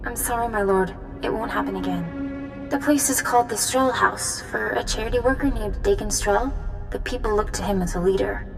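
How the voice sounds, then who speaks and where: Casting: actress for a sharp-witted follower in a game; medium register, respectful, sincere, soft score behind her. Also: mid-range voice, normal speed, creaky, music in the background, close to an everyday voice. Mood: deferential, earnest. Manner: respectful, earnest. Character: earnest, deferential, sharp-witted young cultist follower.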